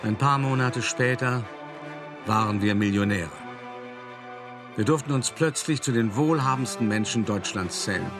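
An actor playing an urbane, self-satisfied art dealer, telling his story in a measured, articulate radio play monologue. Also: medium pitch, music in the background, lightly acted. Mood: smug, satisfied.

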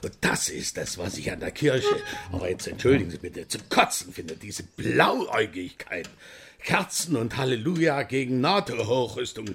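An actor playing an older male party veteran painter who talks bluntly and gruffly in a radio drama. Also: mid-range voice, animated, normal speed, fairly bright tone. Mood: ranting.

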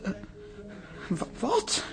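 An actress playing a kind, fretful older grandmother in a radio drama, stammering and repeating her words hesitantly. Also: drawn-out words. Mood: flustered. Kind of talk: Dutch radio drama acting.

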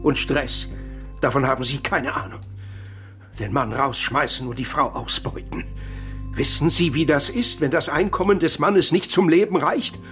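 A man's voice: a little high, normal speed, fairly bright tone, faint music behind, close to an everyday voice. An actor in a radio crime drama playing a bitter, lonely laid-off editor in his fifties, aggrieved, wry and articulate. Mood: bitter, indignant. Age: 50s. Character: bitter, lonely laid-off former editor-in-chief, man of fifty-four.

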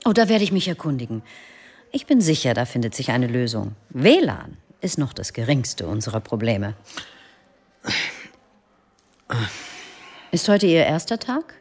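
An actress playing a friendly, discreet office consultant in a naturalistic radio drama, with a casual, reassuring manner. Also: female voice, rumbling low, big pitch swings, normal speed, darkish tone, breathy edge, full character voice. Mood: helpful.

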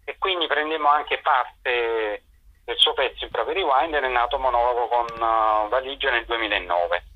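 A man's voice: medium pitch, normal speed. Middle-aged man on a dull phone-like recording. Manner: hesitant, searching for words, explanatory. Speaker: theatre director and performer. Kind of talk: informal spoken interview answers. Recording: dull lo-fi telephone-radio sound.

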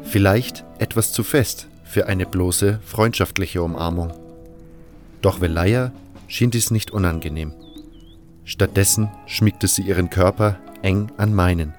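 A man reading a scripted first-person fantasy story as narrator, in a measured, literary delivery. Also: deep voice, darkish tone, soft background music. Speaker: narrator of a fan audio drama.

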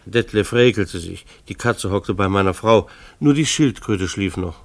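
An actor playing a mild-mannered, beleaguered schoolteacher husband who narrates a German radio play in a wry, earnest, hesitant way. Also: male voice, fairly low pitch, unhurried. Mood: wry narrating. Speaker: narrator and lead character.

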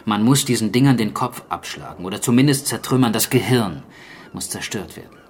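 Actor as a sober, matter-of-fact archaeologist. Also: male voice, lowish voice, a little hiss or hum, natural voice. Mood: grave, explanatory. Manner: measured, matter-of-fact, explanatory. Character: sober male archaeologist explaining a zombie plague. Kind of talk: naturalistic German radio drama acting.

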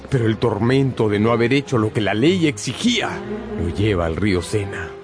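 Guy narrating a radio novel, kinda low voice, dramatic storytelling, music under it. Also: animated, brisk. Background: prominent music.

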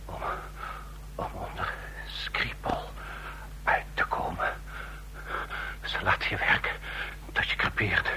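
An actor playing a dying, bitter heart patient in a radio drama, whispering in halting, broken phrases as he gasps for breath. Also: lightly acted. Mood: weak, bitter. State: gasping, near death.

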